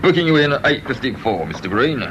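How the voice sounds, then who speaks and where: A man's voice: medium pitch, sing-song, fast talker, muffled recording, lightly acted. An actor playing a dutiful male security guard in a radio drama, respectful and routine. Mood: deferential. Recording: noisy radio drama recording.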